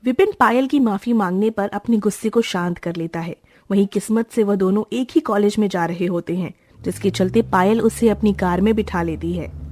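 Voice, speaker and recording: Mellow husky woman narrator. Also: mid-range voice, normal speed, no room sound. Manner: steady storytelling. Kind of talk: scripted narration.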